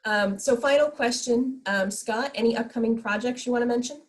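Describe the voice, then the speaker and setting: A woman's voice: on the high side, normal speed. A woman moderating a casual live question-and-answer session over a video call.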